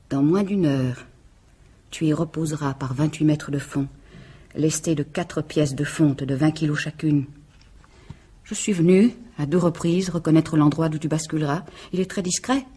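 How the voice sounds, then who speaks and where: A woman's voice: sits low, warm tone, husky. An actress playing a bitter, jealous woman bent on revenge, theatrical and sardonic, in 1950s French radio drama style. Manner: theatrical, sardonic, menacing monologue. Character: bitter, jealous, vengeful scorned woman turned cold killer.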